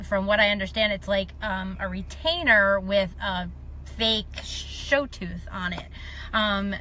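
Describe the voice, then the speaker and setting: A woman's voice: mid-range voice, bright, forceful tone, a little hiss or hum. A middle-aged woman with a slight lisp, chatting casually and self-deprecatingly on a live stream. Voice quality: slight lisp from a dental appliance.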